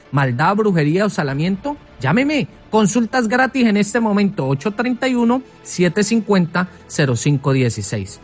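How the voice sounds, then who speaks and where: A woman reading a radio ad as a string of insistent questions. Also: mid-range voice. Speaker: advertiser. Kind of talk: radio ad read.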